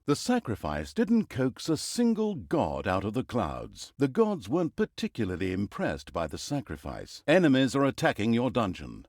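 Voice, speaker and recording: Wry, highly animated fantasy game narrator. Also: male voice, no room sound. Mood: urgent. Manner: tongue-in-cheek, dramatic, swinging between grand storytelling and dry asides. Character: wry, mocking male storyteller narrator of a comedic fantasy dungeon game. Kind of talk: theatrical scripted game narration.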